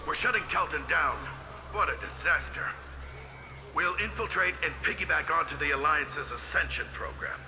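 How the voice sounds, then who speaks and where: A man's voice: fairly high pitch, moderate pace, over music, lightly acted. An actor playing a cold male scientist recording a log in a video game. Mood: alarmed. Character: cold male scientist logging a failing secret experiment.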